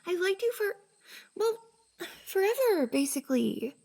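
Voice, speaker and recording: A high, soft-toned young woman, played by a woman for an intimate ASMR roleplay. She is a shy, easily flustered best friend who speaks slowly and hesitantly. Her breathy delivery is full of sighs and often sinks into a whisper. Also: sing-song, exaggerated voice. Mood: anxious, embarrassed.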